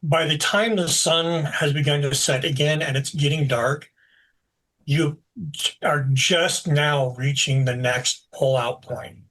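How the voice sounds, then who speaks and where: A man running a tabletop game as game master, narrating scenes in a relaxed, explanatory way with frequent fillers. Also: a little high, slow pace, rough recording.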